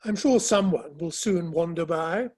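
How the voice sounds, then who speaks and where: A woman playing a mild, sociable, peacemaking Victorian gentleman in a dramatic play reading. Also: lowish voice, unhurried, slightly rough. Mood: mild, placating.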